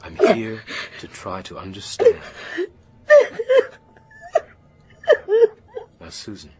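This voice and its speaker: A patient therapist in a radio play. His voice is deep and measured. He asks careful questions in a reassuring way. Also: sing-song, darkish tone. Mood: patient, soothing. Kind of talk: naturalistic radio drama acting, counselling.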